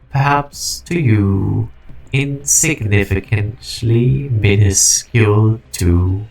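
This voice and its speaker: A man's voice: fairly low pitch, full character voice, rough recording. A man voicing an ancient artificial personality, the weary preserver of a dying civilization, in a grandiose, ponderous game fan dub. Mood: grandiose, bleak. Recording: music behind the voice. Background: music.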